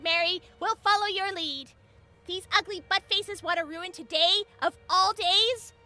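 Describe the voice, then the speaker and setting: A woman's voice: very high voice, sharp tone, exaggerated voice. A woman playing a spirited teenage girl heroine in an audio drama, earnest and dramatic as she rallies her team. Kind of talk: fan audio drama acting.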